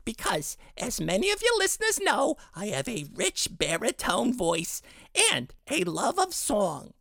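A woman voicing an eager, showy middle-aged dad who loves to sing and brags of a rich baritone. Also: wildly swooping pitch, measured pace, creaky, fairly bright tone, no room sound, studio quality, heavily acted. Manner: overeager, theatrical, giggly. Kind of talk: comedic show sketch.

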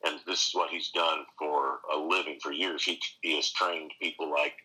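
A pastor and seminary teacher answering interview questions earnestly, with frequent restarts and rising emphasis. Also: male voice, very deep voice, steady tone, normal speed, pushed and bright, breathy edge, rough recording.

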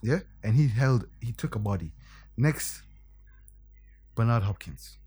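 A man in casual podcast banter, emphatic and animated, often tagging lines with "yeah?". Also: medium pitch, a little slow, husky. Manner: animated, emphatic, tag questions. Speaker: podcast co-host.

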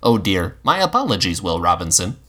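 A man's voice: low-pitched, big pitch swings, brisk, sharp tone, heavily acted. A man voicing a polite, curious male robot companion who speaks in formal, precise words in an audiobook. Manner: formal, precise, courteous wording. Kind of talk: audiobook character voice.

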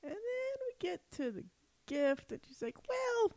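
A woman's voice: extremely high pitch, sing-song, unhurried, a little mellow. A woman co-hosting a podcast, talking casually about a television show. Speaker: podcast co-host. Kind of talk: casual TV episode discussion.

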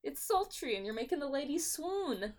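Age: adult. Sex: woman. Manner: teasing, high and animated. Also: moderate pace. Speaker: podcast co-host. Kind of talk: casual movie podcast chat.

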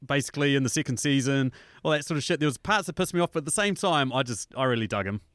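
A young man with a New Zealand accent in casual chat, rambling, animated and swearing freely. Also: mid-range voice, fast, no room sound, studio quality.

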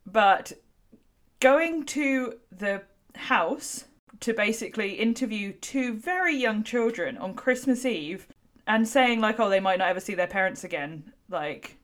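A woman's voice: medium pitch, lively intonation, measured pace. A woman talking casually and frankly about a film as a podcast host, swearing freely and peppering her speech with "like". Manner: informal, opinionated, sweary, frequent "like". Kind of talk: casual chat, film discussion.

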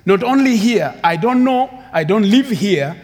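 Ugandan man, guest preacher; slow, earnest delivery, slightly high voice, reverberant church hall. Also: lively intonation, a little mellow. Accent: Ugandan English. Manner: earnest, unhurried preaching with repeated phrases and rising emphasis. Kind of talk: sermon. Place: church sanctuary with long reverb.

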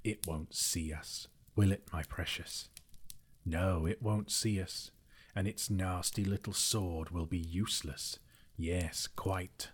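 Storybook creature: wretched, obsessive, hissing, rough-edged voice, muttering and repetitive. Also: male voice, deep voice, fairly even intonation, slow pace, heavily acted. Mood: sly, gloating. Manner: muttering to itself, wailing, repeating words. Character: wretched, scheming, obsessive cave-dwelling creature, male. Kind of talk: storybook character voice in narration.

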